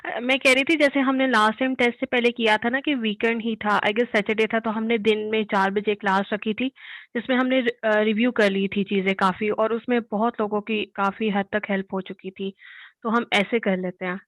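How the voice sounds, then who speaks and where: A woman's voice: a little high, steady tone, moderate pace, fairly bright tone, no echo, rough recording. A woman with a Pakistani accent speaking as a student in a casual class discussion, mixing in English words. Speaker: student in an online law class.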